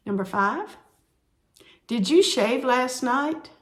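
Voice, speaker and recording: A woman teaching sign language, explaining each sign slowly and repeating the words as she demonstrates. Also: high voice, animated. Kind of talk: slow instructional explanation.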